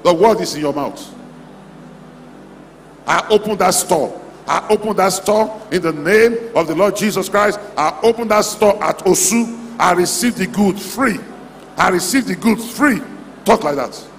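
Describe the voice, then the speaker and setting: Male charismatic preacher, higher-pitched, exhorting in repeated declarations, long hall reverb. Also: measured pace, slight background noise. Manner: exhorting, repetitive short declarations. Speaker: preacher leading a convention. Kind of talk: charismatic prayer-and-declaration sermon. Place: large hall at a church convention. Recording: echoey hall PA, music underneath. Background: music.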